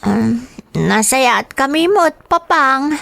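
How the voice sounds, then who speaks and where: A woman's voice: high-pitched, animated, slow, gravelly, sharp tone, no echo, heavily acted. A woman playing a dutiful young granddaughter in a radio drama, respectful and youthful, with an Ilocano accent. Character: dutiful young granddaughter, obedient but a little reluctant. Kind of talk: Filipino radio drama acting.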